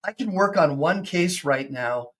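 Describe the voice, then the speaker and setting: A man in his fifties, a personal injury attorney hosting a casual video-call chat, excitable and full of stammering restarts. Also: a little high, unhurried. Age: 50s.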